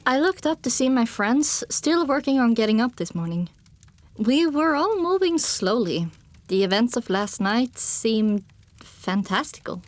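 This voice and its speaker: A woman narrates a first-person fantasy audiobook. Her voice is fairly high and moves widely in pitch as she tells the story expressively. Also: big pitch swings, unhurried, no echo.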